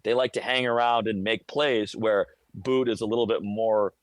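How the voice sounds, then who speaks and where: A man with an American accent and a fairly low voice, recorded close and dry. He gives live sports radio analysis in a conversational, detailed way, often tripping on the first word of a phrase before carrying on. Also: steady tone, normal speed, no room sound. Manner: conversational, detailed, stammers over word starts. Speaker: radio play-by-play broadcaster, guest analyst.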